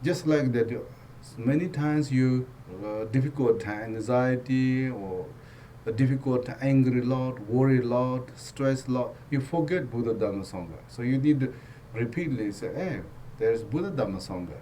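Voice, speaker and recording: Tibetan-accented man teaching in halting English. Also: medium pitch, a little hiss or hum. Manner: broken English, repetitive, gently joking. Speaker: Buddhist teacher. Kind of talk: dharma teaching talk.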